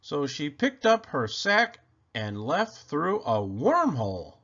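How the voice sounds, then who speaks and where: A man reading a children's picture book aloud expressively, with theatrical, deliberate phrasing, on slightly dull, lo-fi audio. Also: fairly high pitch, huge pitch swings, bright, forceful tone.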